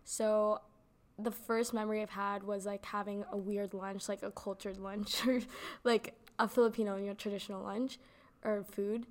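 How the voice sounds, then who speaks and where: A woman's voice: mid-range voice, flat delivery, normal speed. A young woman giving casual interview answers in a chatty way, with lots of "like" fillers. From Canada. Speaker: interviewee, actor.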